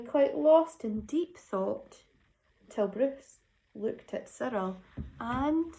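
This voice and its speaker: A high-voiced woman narrates a children's picture book at a slow, measured pace. She stresses words dramatically and breaks off for chatty asides to young listeners, as a teacher would. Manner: animated, dramatic emphasis. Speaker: teacher reading a story to children.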